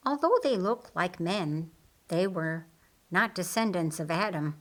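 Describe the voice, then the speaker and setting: Unhurried woman narrating an audiobook story. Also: fairly low pitch, animated.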